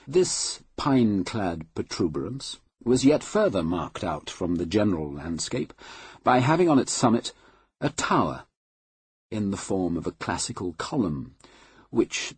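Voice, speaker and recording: A man reading a classic novel aloud in a measured, unhurried and formal way. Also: fairly low pitch, a little mellow, slightly rough, no echo. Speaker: audiobook reader. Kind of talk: scripted literary narration.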